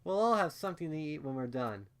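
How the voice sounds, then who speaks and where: A man voicing a warm, doting pegasus mother in a storybook, cooing and soothing as to a small child. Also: on the high side, big pitch swings, quick pace, clean tone, pitched above the performer's own voice. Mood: gentle. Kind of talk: storybook character voice.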